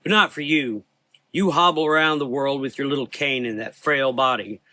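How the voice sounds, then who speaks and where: A man voicing a tough, bitter, defiant gunfighting woman in a gothic audio drama, sharp-tongued and sarcastic. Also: mid-range voice, moderate pace, pitched above the performer's own voice, lightly acted.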